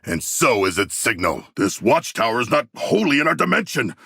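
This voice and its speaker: An actor playing a huge humanoid shark warrior in a video game, earnest and bloodthirsty, with formal, grandiose and literal-minded diction. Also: male voice, mid-range voice, sing-song, moderate pace, vocal fry, sharp tone, husky, dry recording, clean studio sound, exaggerated voice. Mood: formal, sincere. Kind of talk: video game character voice lines.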